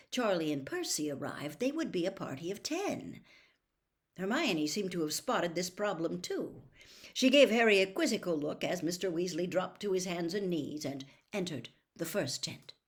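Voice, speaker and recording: A woman reading a novel aloud as an expressive storyteller, in audiobook-style narration. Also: fairly low pitch, normal speed. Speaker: storybook reader.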